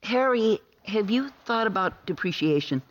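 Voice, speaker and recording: An actress playing a detective's brisk female assistant in a radio drama, precise and gently needling. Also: mid-range voice, animated, highs cut off, close to an everyday voice. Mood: dryly needling.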